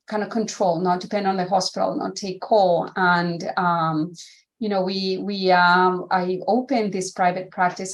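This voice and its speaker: Chatty woman guest from Colombia. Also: lowish voice. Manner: warm, chatty, frequent fillers. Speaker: guest, vascular surgeon. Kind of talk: casual interview.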